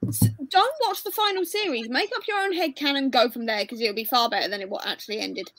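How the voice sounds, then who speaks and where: A woman's voice: fairly high pitch, quick pace, a bit bright, no room sound. A woman in her twenties chatting emphatically on a video call. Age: 20s. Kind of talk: casual video-call chat.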